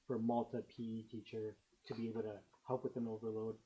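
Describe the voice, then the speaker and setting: A man's voice: fairly low pitch, level tone, fast talker, mellow, slight background noise, low-quality recording. A man presenting a staffing request to a school board, casual and chatty. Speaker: school administrator.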